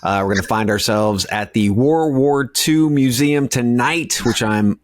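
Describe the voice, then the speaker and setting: A man's voice: mid-range voice, a little slow, a little hiss or hum. A man co-hosting a podcast in casual banter, with an irreverent, jokey way of talking. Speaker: podcast co-host.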